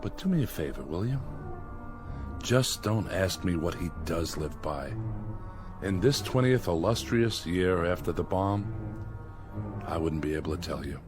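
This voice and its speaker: An actor playing a weary, sardonic survivor with a wry, commanding manner in a radio drama. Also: male voice, low-pitched, lively intonation, a little slow, long pauses, music in the background. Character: weary, sardonic male survivor, cautious authority figure.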